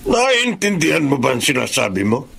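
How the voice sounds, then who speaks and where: An actor playing a smug, threatening drug boss in a Filipino radio drama, speaking with a Filipino accent. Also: male voice, fairly high pitch, big pitch swings, gravelly, fairly bright tone, slight background noise, exaggerated voice. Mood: menacing. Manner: smug, condescending, slowly threatening.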